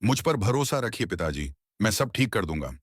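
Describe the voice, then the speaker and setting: A man acts out a righteous young brother in a cartoon. His voice is on the low side and moves a lot in pitch, earnest and admonishing, recorded dry. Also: very animated, normal speed, husky, no echo. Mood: reassuring. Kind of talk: Hindi moral-story cartoon voice acting.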